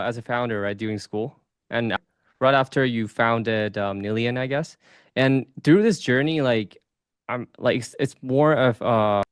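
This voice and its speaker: A man hosting a podcast from a dark home studio, asking questions in a relaxed, hedging way. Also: mid-range voice, smooth, no echo. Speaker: podcast host. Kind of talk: casual interview hosting. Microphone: studio mic on boom arm.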